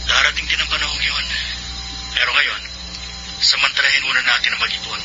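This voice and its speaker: An actor playing a loving, earnest husband on a long-distance phone call in a radio drama. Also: male voice, mid-range voice, steady tone, a little fast. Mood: tender. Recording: muffled, noisy phone-call sound.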